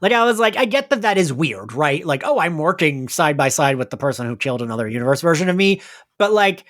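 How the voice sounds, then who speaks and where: Male podcast co-host, casual and talkative, excitable, with very lively intonation. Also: fairly high pitch, quick pace. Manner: chatty, filler-heavy, excitable tangents. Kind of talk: casual recap chat about an audio drama.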